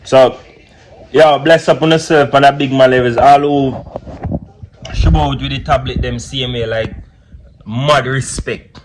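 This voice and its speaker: A man's voice: mid-range voice, steady tone, slow, pauses often, low-quality recording. A young man with a Jamaican accent chatting casually in patois for a family vlog, often saying "you see me". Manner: laid-back patois talk with repeated "you see me". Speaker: vlogger. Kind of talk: casual chat.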